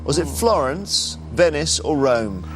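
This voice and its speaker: A man's voice: fairly high pitch, big pitch swings, takes it slowly, soft background music, rough recording. A man hosting a TV quiz show, reading questions and teasing contestants with dry banter.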